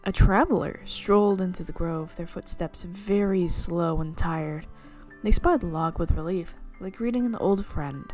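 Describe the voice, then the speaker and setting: Expressive woman reading a game aloud. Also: on the low side, measured pace, soft background music. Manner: expressive reading with playful reactions. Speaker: game streamer. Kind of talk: reading a visual novel aloud.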